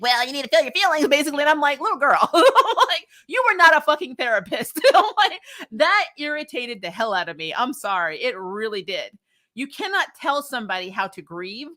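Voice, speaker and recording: Female recap host, bright forceful voice, chatty and slangy, blunt opinions with mock quotes. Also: fairly high pitch. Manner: animated, slangy and sweary, breaking into laughter; acts out dialogue in mocking quotes. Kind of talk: casual, opinionated review chat to viewers.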